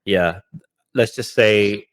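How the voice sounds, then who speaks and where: Low-voiced young man chatting casually. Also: slow pace. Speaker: podcast host. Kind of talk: casual podcast chat.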